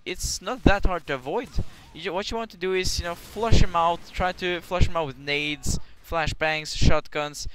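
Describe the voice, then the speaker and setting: A man's voice: on the high side, a bit bright. A young man with a Norwegian accent giving casual, rambling commentary over his gameplay, swearing now and then. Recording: clear background hiss.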